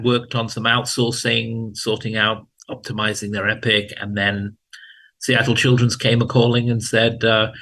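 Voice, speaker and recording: A man, telling his career story as an interview guest in a relaxed way with dry humor. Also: medium pitch. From England. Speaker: guest, hospital chief information officer. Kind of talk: casual interview talk.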